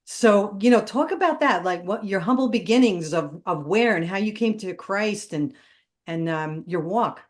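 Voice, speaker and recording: A woman's voice: mid-range voice, expressive, normal speed. A woman hosting a faith show, asking questions and affirming her guest. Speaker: show host. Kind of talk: interview questions.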